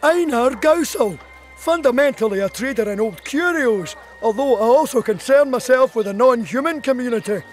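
A man's voice: very high-pitched, lively intonation, moderate pace, soft background music. An actor playing a courteous non-human male trader of old curios in a video game. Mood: courteous, welcoming.

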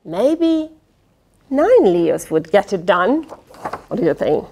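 A middle-aged woman reading a picture book aloud to children in an expressive, dramatic way, with playful asides. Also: mid-range voice, takes it slowly, slight background noise. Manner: expressive, dramatic, with gasps, sighs and questions to the listener. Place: indoors, small fabric tent with cushions. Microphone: lapel.

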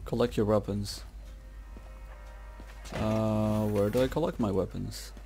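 A man's voice: on the low side, fairly even intonation, slow pace, vocal fry, over music. A man giving casual gameplay commentary in an awestruck, slangy way, peppered with dude and man. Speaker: gamer commentating his playthrough.

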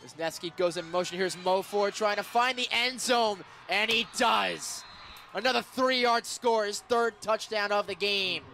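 A man doing live radio play-by-play of football, excitable and stretching his words on big plays. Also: high voice, unhurried, gravelly, soft background music. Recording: live radio sports broadcast.

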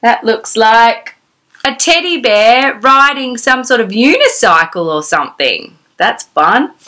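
Casting: female children's storyteller, thirties, high-pitched and very animated, sing-song read-aloud delivery. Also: takes it slowly. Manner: exclamatory, sing-song, asking the child questions. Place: small decorated room indoors.

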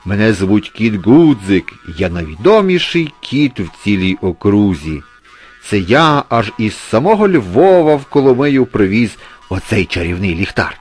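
A man's voice: low-pitched, lively intonation, unhurried, husky, faint music behind, heavily acted. A man voicing a sly, boastful street cat with a theatrical, bragging manner in a children's storybook. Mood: grand, boastful.

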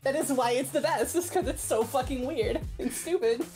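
A woman's voice: high voice, normal speed, darkish tone, soft background music. A young woman gamer chatting casually over a game, with blunt, sweary banter. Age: young adult. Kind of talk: casual gaming chat.

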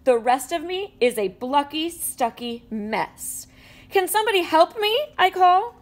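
A woman reading a children's picture book aloud with animated storytelling and playful sound effects. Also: high-pitched, measured pace. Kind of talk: expressive read-aloud. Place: indoors at a table with a book.

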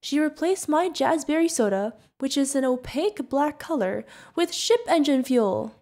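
A woman's voice: high-pitched, lively intonation, moderate pace, darkish tone. A woman playing a sweet, curious, friendly feathered alien bartender in an ASMR roleplay, chatting directly to the listener. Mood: amused, helpful.

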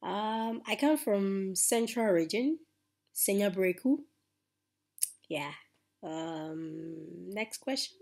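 A young woman with a Ghanaian accent talks casually to her webcam in a small room, chatty and unhurried, with soft asides. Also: mid-range voice, animated, creaky. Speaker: YouTuber. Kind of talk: casual chat to camera.

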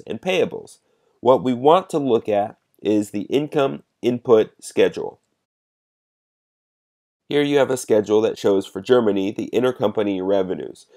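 A man giving a measured, explanatory step-by-step narration as a software demonstrator. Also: lowish voice.

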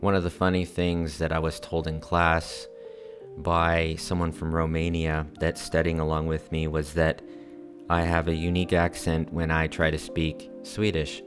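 An American man narrating his vlog in an earnest, friendly, calm voice-over. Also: extremely low pitch, moderate pace, over music. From United States. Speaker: vlogger. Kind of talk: voice-over narration over scenic footage.

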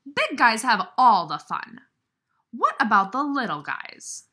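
A woman voicing a big, anxious, indignant male elephant from a picture book, with emphatic drawn-out exclamations. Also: on the high side, wildly swooping pitch, bright, forceful tone, a little hiss or hum, exaggerated voice. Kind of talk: children's storybook read-aloud.

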